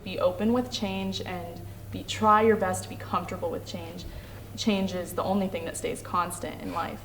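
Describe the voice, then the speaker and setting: A teenage girl, a high school senior, giving calm, composed interview answers. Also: normal speed, a little hiss or hum, rough recording. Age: late teens.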